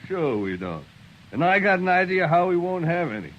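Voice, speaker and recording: An actor playing a swaggering, bullying Texas cowboy with a cocky, taunting drawl in a 1950s radio western. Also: male voice, high-pitched, big pitch swings, normal speed, lo-fi sound. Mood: mocking. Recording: very noisy old radio recording.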